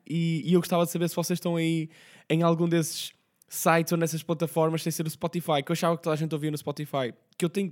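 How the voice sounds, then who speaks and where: A hoarse young man with a Portuguese accent, hosting his podcast as a rambling, slang-heavy casual chat. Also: a little high, a little mellow. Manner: slangy, rambling, quick talk with many fillers. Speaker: podcast host.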